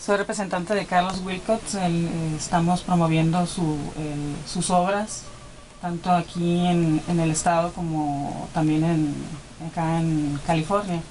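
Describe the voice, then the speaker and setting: Female guest, low voice, slow and hesitant, faint studio hiss. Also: fairly even intonation, a little mellow, low-quality recording. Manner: slow, hesitant, many fillers. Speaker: artist's representative. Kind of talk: radio interview guest. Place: small radio studio. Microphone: desk studio mic.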